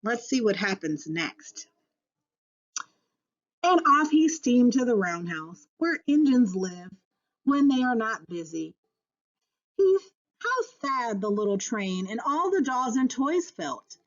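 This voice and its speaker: A woman teacher reading a children's storybook aloud expressively, pausing to address her young listeners. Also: fairly high pitch, very animated, highs cut off.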